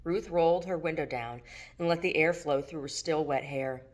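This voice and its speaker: A woman's voice: sits low, normal speed. A woman narrating her own work of fiction as an audiobook reading. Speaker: author reading own novel aloud.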